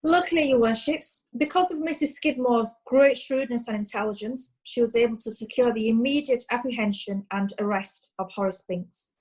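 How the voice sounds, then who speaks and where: A woman's voice: a little high, normal speed, a little mellow, husky, rough recording. A woman playing a confident, formal prosecutor addressing the bench in a courtroom play. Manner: formal, assured. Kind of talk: courtroom stage play acting.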